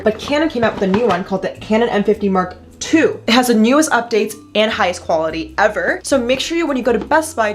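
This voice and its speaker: A young woman giving a casual vlog tutorial to camera, chatty, slangy and emphatic. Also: mid-range voice. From Indonesia. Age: young adult. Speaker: vlogger and content creator.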